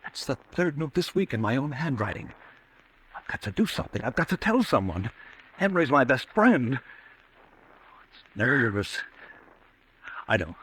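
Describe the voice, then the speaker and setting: An actor playing a haunted, nervous businessman who fears himself, in agitated, halting, melodramatic 1940s radio style. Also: mid-range voice, big pitch swings, a bit bright, a little hiss or hum, poor audio. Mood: anxious, muttering. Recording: old radio broadcast recording.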